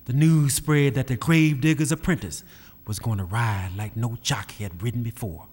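A man's voice: medium pitch, normal speed, darkish tone. A man narrating an old-time radio drama in a folksy storytelling manner. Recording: old radio recording with music behind.

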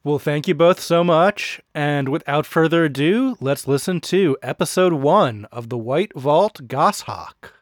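A man's voice: on the high side, expressive, unhurried, few pauses, no room sound. A man hosting a podcast interview in a conversational style. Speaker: podcast host.

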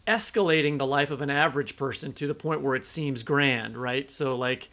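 A man co-hosting a literary podcast, talking through his thoughts in a halting, conversational way. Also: medium pitch, sharp tone. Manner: halting, conversational, thinking aloud. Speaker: podcast co-host. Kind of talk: literary interview discussion.

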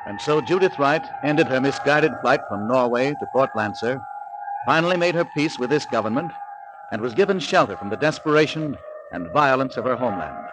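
Animated male announcer, noisy old radio. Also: mid-range voice, brisk. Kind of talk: 1940s radio scripted narration. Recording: noisy 1940s radio recording.

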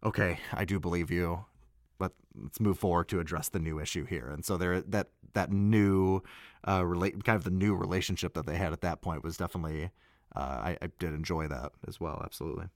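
Deep-voiced man in casual chat, close and dry. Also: fairly even intonation, fairly quick, dry recording. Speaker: voice actor, guest.

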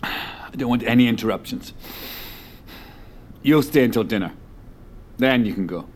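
Man acting a wealthy male boss, authoritative, smooth, controlling, audio drama, faint background noise. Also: medium pitch, expressive, darkish tone, close to an everyday voice. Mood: commanding. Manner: measured, authoritative, condescending.

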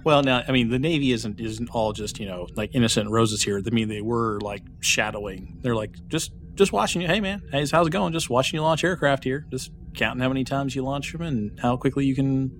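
A man's voice: medium pitch, steady tone, fairly quick, few pauses, a bit bright, slight background noise. A man co-hosting a podcast, bantering casually and joking, trailing off with fillers. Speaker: podcast co-host.

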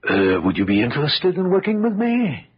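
An actor playing an elderly, exacting scientist in an old-time radio drama, formal and deliberate, with hesitant phrasing. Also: male voice, on the high side, huge pitch swings, slightly rough, heavily acted. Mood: frank, intent. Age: older. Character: elderly, exacting scientist and father, courteous but intense. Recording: dull vintage radio recording.

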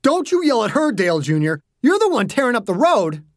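A narrator voicing an angry, protective father with a hot temper, shouting and cursing in an audiobook story. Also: medium pitch, big pitch swings, fairly quick, no echo, clean studio sound, voice pushed up, close to an everyday voice. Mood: enraged.

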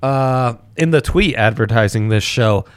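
A man co-hosting a casual sports betting podcast, tossing in quick wisecracks and playful asides. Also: mid-range voice, clear, resonant tone, dry recording. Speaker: podcast co-host.

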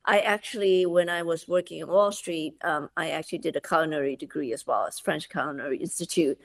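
A middle-aged woman from Malaysia speaks as an interview guest, animated and full of restarts and "you know." Her voice has a gravelly edge. The sound is dry and close. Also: low-pitched, a little fast, no room sound. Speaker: guest, food subscription founder. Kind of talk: conversational interview.

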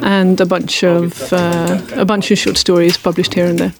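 A young adult woman from Sweden with a Swedish accent, a native Swedish speaker, answering interview questions in a thoughtful, halting, self-deprecating way at a convention. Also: fairly low pitch, level tone. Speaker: author guest. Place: convention venue. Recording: chatter of a convention crowd behind.

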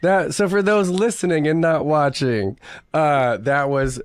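A man hosting an interview podcast, enthusiastic and chatty, his voice a little hoarse as he recovers from illness. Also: a little high, measured pace, no room sound. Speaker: podcast host. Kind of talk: casual interview chat.

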